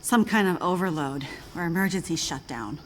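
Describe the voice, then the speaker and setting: An actress playing an older woman scientist in a video game, brusque and intense, speaking in a measured, learned and emphatic way. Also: on the low side, expressive, a little hiss or hum, lightly acted. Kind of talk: dramatic video game acting.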